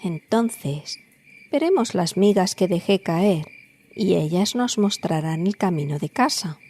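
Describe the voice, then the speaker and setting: A woman's voice: lowish voice, animated, measured pace, dark tone. A woman voicing a brave young boy in a fairy tale, eager and reassuring to his sister.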